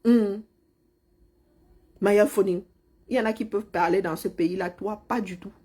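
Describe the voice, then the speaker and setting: A woman's voice: medium pitch, steady tone, unhurried, dark tone, husky. A middle-aged woman, mockingly scolding a politician in a heated live-stream rant. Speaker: commentator replying to a politician.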